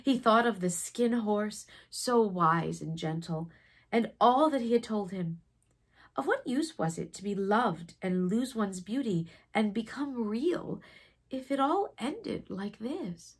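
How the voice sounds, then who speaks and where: Lady reading a kids book out loud, breathy husky voice, dramatic pauses, very expressive. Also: mid-range voice. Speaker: storybook reader. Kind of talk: expressive children's story narration.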